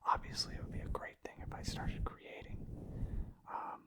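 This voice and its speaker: A man speaks entirely in a soft, breathy whisper, close to the microphone. He rambles casually in an ASMR style, unscripted and hesitant. His manner is gentle and modest about himself. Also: moderate pace. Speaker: ASMR video creator and streamer.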